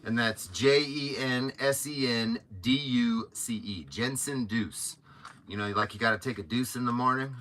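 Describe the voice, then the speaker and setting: A man's voice: medium pitch, very animated, measured pace, a bit bright. A man, around his thirties, giving sarcastic commentary as he reads a document aloud for a video. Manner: mocking, sarcastic. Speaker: video host.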